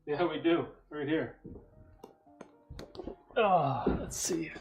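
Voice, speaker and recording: A man's voice: a little high, drawn-out words, vocal fry, soft background music, low-quality recording. A man hosting a casual livestream Q&A from a room with a bookcase, rambling with many fillers and restarts. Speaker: livestream host answering viewer questions. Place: room at home with a bookcase behind him.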